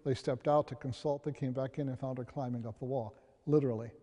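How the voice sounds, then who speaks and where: Male American priest: warm dark tone, mid pitch, interview answers with long room reverb. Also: fairly even intonation, fast talker, muffled recording. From Pennsylvania, USA.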